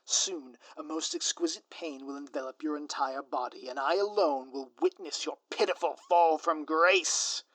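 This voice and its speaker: A man playing a scheming, theatrical supervillain in a scripted ASMR audio roleplay, with a pompous, florid, drawn-out delivery. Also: fairly high pitch, fairly bright tone, no echo, close to an everyday voice, rough recording. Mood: ominous, gloating. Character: theatrical scheming male supervillain, gloating, menacing, easily flustered.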